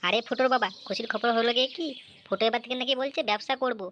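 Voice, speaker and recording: A woman's voice: on the high side, racing, fairly bright tone, close to an everyday voice. A woman playing a fussing, inquisitive village mother and housewife in a comic cartoon. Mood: delighted. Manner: chatty, inquisitive, exclaiming. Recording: dull lo-fi audio with some noise.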